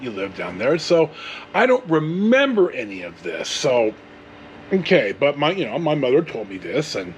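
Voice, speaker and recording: A man's voice: on the high side, slight background noise, low-quality recording. A man in his sixties with a Californian American accent, telling a casual, meandering story as host, with digressions and mild swearing. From California, United States. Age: 60s. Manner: meandering, digressive, mildly profane.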